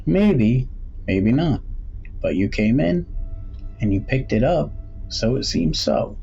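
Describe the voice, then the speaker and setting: A man's voice: mid-range voice, big pitch swings, creaky, dark tone, voice pushed up, exaggerated voice. Man playing an eerily pleasant, swooping middle-aged shopkeeper. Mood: cryptic, coaxing. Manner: welcoming, measured, cryptic. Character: mysterious, unsettlingly pleasant middle-aged male antique shopkeeper. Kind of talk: storybook character voice in suspense tale. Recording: dull recording with faint background noise.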